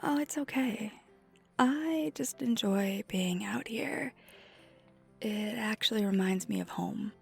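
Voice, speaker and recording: A woman playing a flirty, friendly vampire in an ASMR roleplay, speaking softly and reassuringly with a breathy, near-whispered delivery. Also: medium pitch, slow pace, heavily acted. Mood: soft, wistful. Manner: soft-spoken, gently reassuring, often breathy near-whisper. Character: flirty friendly female vampire teaching ice skating. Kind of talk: ASMR roleplay, one side of a conversation. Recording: music behind the voice.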